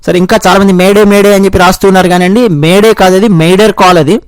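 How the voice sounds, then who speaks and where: A man's voice: sits high, steady tone, fast, clear, resonant tone, no echo. A man with a Telugu accent giving explanatory news commentary in a didactic manner, spelling out and repeating his points. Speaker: host of an explainer video channel.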